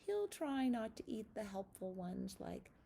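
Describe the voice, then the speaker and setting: A woman's voice: mid-range voice, big pitch swings, unhurried, gravelly, dark tone, slightly rough. A woman teacher reading aloud and giving a lesson to young children, addressing them directly in a sing-song manner.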